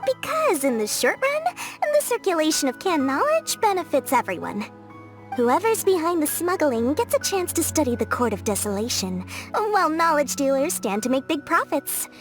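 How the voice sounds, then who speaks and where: Sly merchant for a game, lively pitch, music bed. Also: female voice. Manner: sly, salesy, teasing. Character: scheming young woman merchant, greedy and playful. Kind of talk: game dub voice acting.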